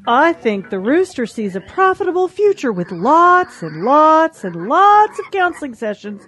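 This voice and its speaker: Super high squeaky hen, fed up and sarcastic, stretches her words, cartoony audio play. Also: big pitch swings, fairly bright tone, heavily acted. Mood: sarcastic, indignant. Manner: exasperated, sarcastic, drawn-out delivery. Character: indignant hot-tempered female hen, sarcastic. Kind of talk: children's animal audio drama acting.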